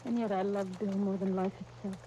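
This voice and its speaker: A woman playing an emotional, torn young wife in a halting, breathless 1930s radio melodrama style. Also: medium pitch, fairly even intonation, dark tone, close to an everyday voice, low-quality recording. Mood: anguished. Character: overwrought, devoted young married woman torn between two loves. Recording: hissy 1939 radio broadcast disc.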